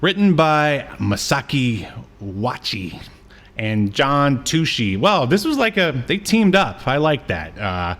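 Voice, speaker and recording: A man's voice: medium pitch, very animated, slow pace, fairly bright tone. A man hosting a podcast, chatting casually about old media with a joking, teasing manner. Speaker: podcast host.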